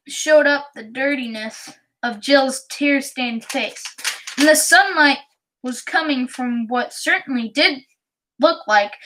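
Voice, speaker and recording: A woman reads a children's story aloud in a high, bright voice. She goes slowly and carefully, with a halting delivery. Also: rough recording. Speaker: audiobook reader.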